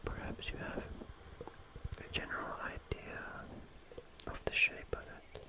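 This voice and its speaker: Very slow, whispering ASMR host. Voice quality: soft, breathy unvoiced whisper. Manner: slow, gently repeats phrases.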